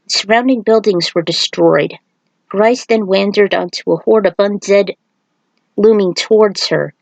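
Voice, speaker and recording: A woman's voice: mid-range voice, moderate pace, vocal fry, dry recording, lo-fi sound. A woman narrating a fan fiction story.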